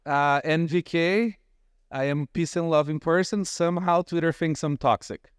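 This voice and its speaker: A man on a conference panel speaking in dry, deadpan quips. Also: a little high, moderate pace, clean tone, no echo. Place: conference stage.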